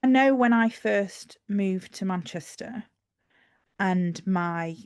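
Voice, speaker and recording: A woman's voice: medium pitch, slow, darkish tone, clean tone, dry recording, clean studio sound. A woman with a Yorkshire accent, co-hosting a casual podcast chat and explaining her thoughts with fillers and restarts. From Yorkshire, England. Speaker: podcast co-host.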